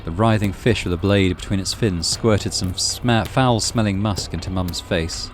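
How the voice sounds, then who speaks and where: A man's voice: low-pitched, normal speed. A man narrating a visual novel aloud as a gaming channel host, in a dramatic storytelling style. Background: music.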